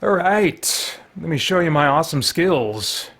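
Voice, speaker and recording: A man's voice: a little high, lively intonation, a little slow, fairly bright tone. A middle-aged man giving casual, playful gameplay commentary as a host, rambling and thinking aloud as he goes. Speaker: host of a video series. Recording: video game music behind the voice. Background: retro video game music.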